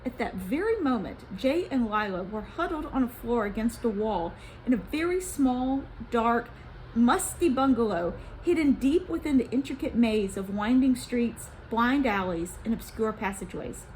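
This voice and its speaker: High-voiced, animated woman storyteller. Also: normal speed, slight background noise, low-quality recording. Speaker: children's story reader. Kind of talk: expressive storybook narration.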